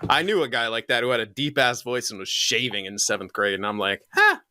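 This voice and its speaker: A man's voice: mid-range voice, very animated, fairly quick, bright, forceful tone, no echo, clean studio sound. A young man co-hosting a podcast, chatting loosely, swearing freely and laughing often. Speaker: podcast co-host. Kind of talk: casual chat.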